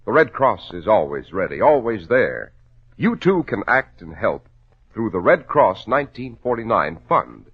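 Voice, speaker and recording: Lively male announcer on muffled old radio. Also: lowish voice, measured pace. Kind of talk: 1940s radio announcing, scripted. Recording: muffled 1940s radio recording, organ music.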